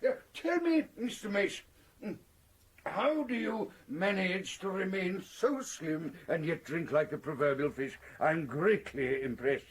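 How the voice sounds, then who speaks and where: An actor playing a jovial, verbose professor turned crime lord in a period radio drama, florid and courteous with a menacing edge. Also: male voice, a little high, unhurried, husky.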